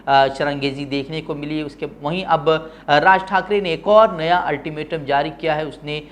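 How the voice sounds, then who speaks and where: A man's voice: fairly high pitch, moderate pace. A man presenting opinionated news with formal, literary diction. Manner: formal Urdu-heavy diction.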